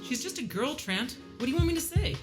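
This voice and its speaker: A woman's voice: sits high, sing-song, fast. An actress playing a brash, flirtatious female space pirate captain in an audio drama, teasing and mock-polite with a drawl. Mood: guarded. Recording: loud music bed under voice.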